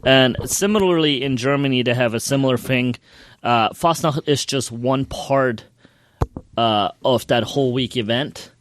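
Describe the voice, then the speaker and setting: A man's voice: medium pitch, measured pace, slight background noise. A man co-hosting a podcast, telling stories casually with emphatic, repetitive phrasing. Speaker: podcast co-host. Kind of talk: casual storytelling.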